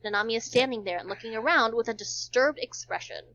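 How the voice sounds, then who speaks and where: High-voiced woman narrating, lo-fi sound. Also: quick pace, bright, forceful tone. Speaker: narrator in a group read-along. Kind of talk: amateur dramatic reading of visual novel.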